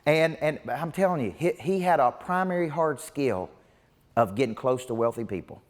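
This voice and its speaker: A man's voice: a little high, animated, normal speed. Forties male business coach, punchy seminar delivery, voice carrying with reverb through a large room. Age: 40s. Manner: emphatic, punchy repetition. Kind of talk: motivational seminar talk. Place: large seminar room before a live audience.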